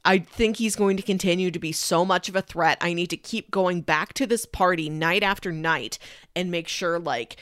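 A woman with a slightly low voice talks casually as a podcast co-host. She retells things in an animated, conversational way, slipping into imagined quotes. The sound is dry and free of room echo. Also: normal speed. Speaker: podcast co-host discussing a film. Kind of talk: casual film discussion.

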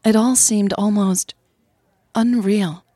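A woman narrates an audio drama in a husky, slightly breathy voice. The recording is very dry, with no room around her words. She reads as a scripted storyteller. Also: medium pitch, steady tone, slow, dark tone, dry recording.

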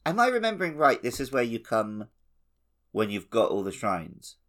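A man's voice: mid-range voice, huge pitch swings, normal speed, fairly bright tone. A man co-hosting a podcast in a casual, conversational style about a video game. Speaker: podcast co-host.

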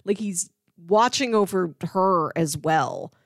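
A woman chatting casually as she retells film scenes, acting out quoted lines as an animated storyteller. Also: lowish voice, slow pace, vocal fry, no room sound, studio quality. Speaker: film podcast host.

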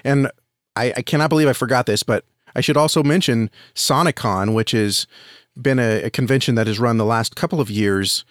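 Measured man explaining in a dry studio sound. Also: medium pitch, no room sound. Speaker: podcast guest, audio drama creator and reviewer. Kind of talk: conversational interview answer, giving advice.

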